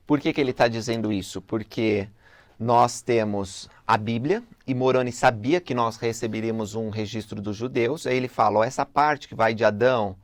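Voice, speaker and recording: A man leading a relaxed religious lesson, asking questions and thinking aloud as he goes. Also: medium pitch, normal speed, no room sound. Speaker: gospel lesson teacher. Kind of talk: casual teaching discussion.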